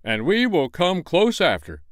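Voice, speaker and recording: A pompous storybook mayor who hems and haws before he commits to anything, voiced by a man reading aloud. The voice stays lively and a little raised, with a smooth, clear tone in a dry, close recording. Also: sits high, unhurried, no room sound, pitched above the performer's own voice, heavily acted. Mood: hesitant. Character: cautious, pompous grown man town mayor.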